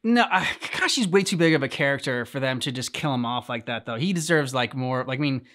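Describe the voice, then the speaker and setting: A man gives casual reaction commentary in a medium-pitched voice. He rambles and halts, searching for his words as a host chatting with a partner. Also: expressive, quick pace, fairly bright tone.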